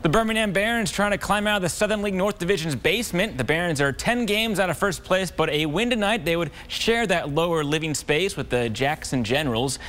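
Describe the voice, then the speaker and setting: A man delivering scripted sports news and highlight narration as a TV reporter and anchor. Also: a little fast, few pauses, a bit bright, slight background noise.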